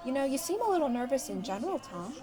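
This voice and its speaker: A woman as a high-voiced, guarded teen girl. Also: big pitch swings, fast, over music. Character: Maddie, cool-headed, guarded teenage girl. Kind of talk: audio drama dialogue.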